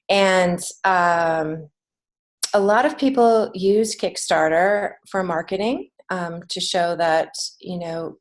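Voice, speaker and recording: An American woman giving casual, encouraging answers as an author being interviewed on a podcast. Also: on the low side. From United States. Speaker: podcast guest, children's book author and creative writing teacher.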